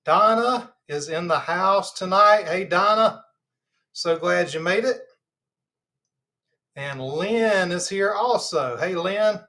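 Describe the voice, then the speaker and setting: A man's voice: high-pitched, takes it slowly. Stuffy-nosed Southern man hosting a livestream. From Georgia, US. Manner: relaxed and rambling, many fillers. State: stuffed up nose. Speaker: host of a live health channel. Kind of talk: casual livestream chat.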